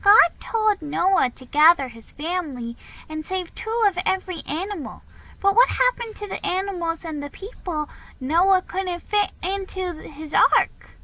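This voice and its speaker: A woman's voice: very high-pitched, animated, measured pace, bright, forceful tone, voice pushed up, heavily acted, rough recording. A woman voicing a small, inquisitive, earnest little girl, a younger sister in a storybook. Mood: puzzled.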